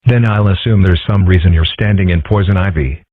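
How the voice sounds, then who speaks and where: Guy doing a wry thoughtful cartoon fox kid, low husky deadpan, lo-fi phone sound. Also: brisk, no echo. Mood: dry, wry. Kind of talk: comic strip dub. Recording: muffled, phone-like narrow audio.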